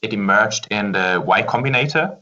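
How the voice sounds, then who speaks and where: Deep bright-toned podcast host. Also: male voice, normal speed, dull audio. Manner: hesitant, many fillers. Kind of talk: casual interview.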